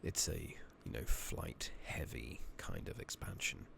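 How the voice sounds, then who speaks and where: A man giving soft-spoken, partly whispered ASMR commentary on a game, muttering casually to himself on a noisy home mic with clicking. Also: rumbling low, sing-song, a little slow, creaky. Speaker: ASMR gaming streamer. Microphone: makeshift rigged-up close microphone.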